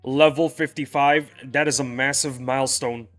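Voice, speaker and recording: Young male streamer; mid-pitched, rambling and casual; faint music bed. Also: steady tone, moderate pace, fairly bright tone. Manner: rambling, loose, often restarts sentences. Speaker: game streamer. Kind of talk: casual livestream chat with viewers. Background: faint background music.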